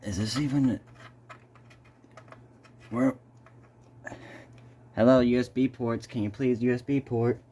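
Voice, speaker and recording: A man hosting a casual, unscripted unboxing video, speaking haltingly and muttering to himself as he goes. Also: medium pitch, steady tone, mellow. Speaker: video host doing an unboxing.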